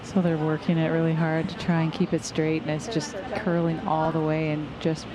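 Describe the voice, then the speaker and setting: A woman giving colour commentary on a curling match, calmly breaking down each team's options. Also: deep voice, moderate pace, crowd noise, poor audio. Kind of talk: sports analysis. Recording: broadcast audio with loud background noise.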